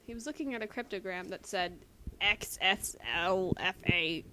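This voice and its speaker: A woman's voice: mid-range voice, normal speed. A woman casually reading a story aloud at home as an amateur, with joking asides. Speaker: amateur story reader and commentator. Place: friend's house. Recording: faintly noisy amateur home recording.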